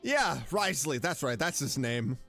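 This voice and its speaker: A woman's voice: low-pitched, sing-song, moderate pace, over music. A woman streaming a game with casual commentary, reacting with joking scepticism. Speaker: streamer playing a story game.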